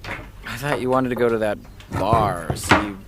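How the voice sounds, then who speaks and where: A man's voice: on the low side, measured pace, noisy background, lightly acted. A man playing an indignant, stubborn TV writer in a naturalistic audio drama, speaking in emphatic, clipped bursts. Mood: deflated. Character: exasperated, stubborn male TV writer having a meltdown.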